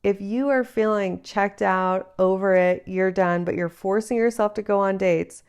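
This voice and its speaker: A woman's voice: mid-range voice, steady tone, a little slow, a little mellow, clean tone, lo-fi sound. A woman in her thirties hosting a solo advice podcast, a little congested from a cold, talking in an earnest, encouraging way on a travel mic. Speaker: podcast host. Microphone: travel microphone, not her usual one.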